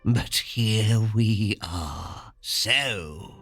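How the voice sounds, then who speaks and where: A man's voice: fairly low pitch, creaky, over loud music, heavily acted. A man playing a sadistic, taunting serial killer who collects victims as art, in a horror audio drama. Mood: calm menace. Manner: taunting, menacing, unhurried.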